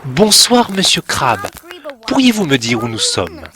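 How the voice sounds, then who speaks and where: A man's voice: medium pitch, huge pitch swings, a little slow, bright, forceful tone, heavily acted. A man voicing a timid, polite little boy lost at sea in a storybook tale, speaking in shy, pleading tones.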